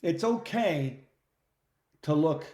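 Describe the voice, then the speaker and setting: Middle-aged man, higher-pitched, echoey. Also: animated, slow, darkish tone. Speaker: podcast co-host. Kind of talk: casual phone chat. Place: recorded phone conversation. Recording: roomy, echoey phone-call recording.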